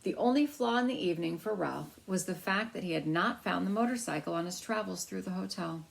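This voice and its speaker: A woman reading a children's book aloud as storyteller, in an expressive narrating style. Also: fairly low pitch, brisk, gravelly, poor audio.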